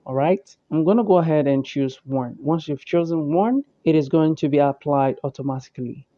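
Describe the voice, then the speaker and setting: Higher-pitched man narrating a tutorial, dull audio. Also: moderate pace, warm tone, no room sound. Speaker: tutorial host. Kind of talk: step-by-step software tutorial narration. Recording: dull, muffled lo-fi voice-over.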